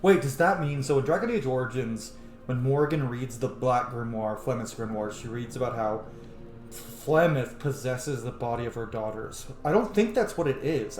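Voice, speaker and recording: A man giving casual, excitable game playthrough commentary, reacting aloud and swearing freely. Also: medium pitch, moderate pace, roomy sound, soft background music. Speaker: gaming streamer.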